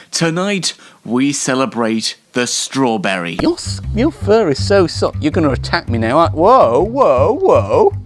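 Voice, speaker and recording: Male comedy host, slightly high voice, slow-ish rambling self-deprecating delivery, light music underneath. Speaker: show host. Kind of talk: casual comedic solo show presenting.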